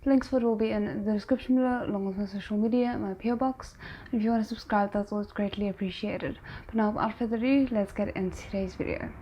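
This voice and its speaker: A woman's voice: medium pitch, steady tone, fast, muffled recording, a little hiss or hum. A woman hosting a reaction video, commenting casually on a drama in short exclamations and musings. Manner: exclaims and muses aloud in short remarks.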